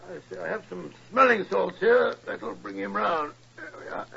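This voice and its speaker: Blustering British companion, fussy but kindly, high husky croaky voice, muffled old radio play. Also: male voice, animated, moderate pace, fairly bright tone, full character voice. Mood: flustered, practical. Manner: mumbling asides, repeats himself, blustery. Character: fussy, blustering, good-natured English gentleman companion. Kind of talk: 1940s radio acting. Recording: muffled, band-limited old radio recording.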